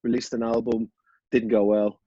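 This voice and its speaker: Easygoing Dublin man, flat and dry. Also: medium pitch, moderate pace, husky, no room sound, muffled recording. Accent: Dublin Irish. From Ireland. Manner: rambling, easygoing, with many fillers and restarts. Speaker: podcast guest. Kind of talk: casual interview chat.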